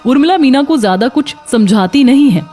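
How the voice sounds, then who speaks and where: A woman's voice: sits high, expressive, fairly quick, mellow, soft background music. A woman narrating a scripted children's moral story.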